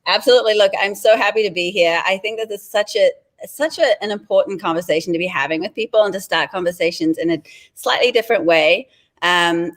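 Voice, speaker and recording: A woman from New Zealand with a New Zealand accent, a guest author chatting casually on a video call with many ums and restarts. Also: medium pitch.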